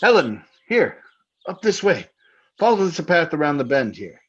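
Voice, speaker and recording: An actor playing a jovial but menacing hunting husband in old-time radio drama style, breezy and taunting. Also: male voice, on the high side, big pitch swings, normal speed, a bit bright, breathy edge, highs cut off, faint music behind, full character voice. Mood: brisk. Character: jovially menacing husband, keen hunter, teasing with murder talk.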